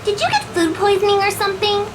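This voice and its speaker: Bratty anime game girl, piercingly high, lively intonation, scolding, sharp-tongued. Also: fast talker, heavy background noise. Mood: pointed. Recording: game music behind voice.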